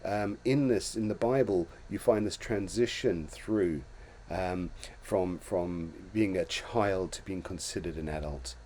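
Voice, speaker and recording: A man's voice: deep voice, unhurried, few pauses, mellow. An older man from Zimbabwe hosting a casual talk show, reflecting on his own life in a storytelling way with many restarts and small chuckles. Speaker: host.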